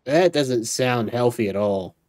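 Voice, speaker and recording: Female game streamer, very low mellow voice, dry casual asides over faint music. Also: lively intonation, unhurried. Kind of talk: casual gameplay commentary.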